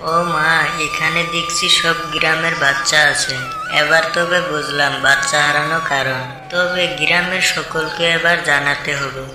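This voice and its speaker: A man's voice: on the high side, fairly even intonation, normal speed, close to an everyday voice. A man playing a bewildered, kindly villager in a fairy-tale cartoon, dramatically talking to himself. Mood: startled, alarmed. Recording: echoey, loud music behind.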